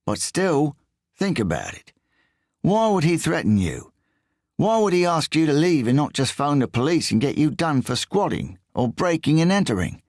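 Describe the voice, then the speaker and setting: A man's voice: a little high, big pitch swings, moderate pace, a little mellow, no echo, clean studio sound, pitched above the performer's own voice. A man voicing a nosy, nervous burglar with an English accent and a whiny tone. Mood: prying. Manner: whiny, questioning.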